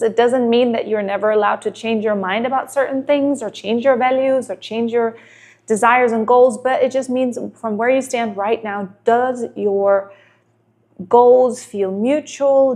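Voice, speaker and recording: A woman's voice: fairly high pitch, darkish tone. A woman from Denmark, a native Danish speaker in her thirties, giving earnest relationship advice to camera and asking many rhetorical questions.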